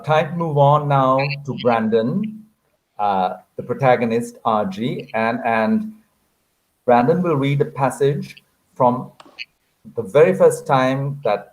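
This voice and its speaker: Politely halting male event moderator. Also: mid-range voice, rough recording. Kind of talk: introducing readers at an online literary event.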